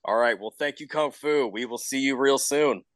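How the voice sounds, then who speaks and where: Man, interviewer, mid-range pitch, casual conversational delivery, dry recording. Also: moderate pace, no room sound.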